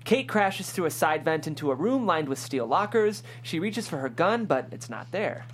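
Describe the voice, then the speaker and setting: A woman's voice: fairly low pitch, very animated, moderate pace, slight background noise. A woman narrator reading screenplay stage directions at a table read.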